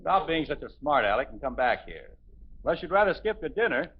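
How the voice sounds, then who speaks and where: A man's voice: fairly high pitch, very animated, a little fast, husky. An actor playing a sardonic, exasperated middle-aged suburban father, dry and stern, in 1950s radio comedy style. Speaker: father in a family sitcom. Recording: muffled, faintly noisy 1950s radio recording.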